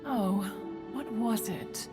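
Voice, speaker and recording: A woman's voice: mid-range voice, drawn-out words. A woman playing a proud, fussy yet fond immortal adeptus in a video game, speaking in formal, archaic phrases and calling herself "one.". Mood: teasing, imperious. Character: stately immortal female adeptus, proud, fussy, fondly meddling. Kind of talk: video game character acting. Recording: long reverb, music behind.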